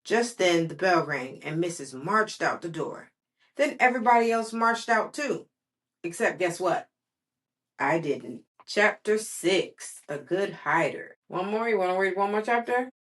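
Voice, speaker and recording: A woman's voice: lowish voice, animated, measured pace, a bit bright. A woman reading a children's story aloud expressively, with casual, patient asides to a child.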